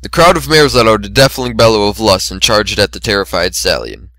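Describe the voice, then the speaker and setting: Bright-voiced man giving a dramatic reading. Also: on the low side, expressive, fairly quick, dry recording. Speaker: amateur reader of fan fiction. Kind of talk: dramatic reading, scripted narration.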